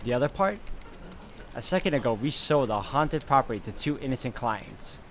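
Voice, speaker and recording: A man's voice: mid-range voice, animated, normal speed, slight background noise. A man hosting a gaming video, reading visual novel narration aloud.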